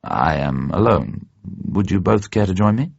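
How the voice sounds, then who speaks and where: A man's voice: low voice, lively intonation, measured pace, gravelly, no echo, highs cut off, heavily acted. A man playing a suave, reserved British spy with a clipped, formal manner. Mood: reserved friendliness.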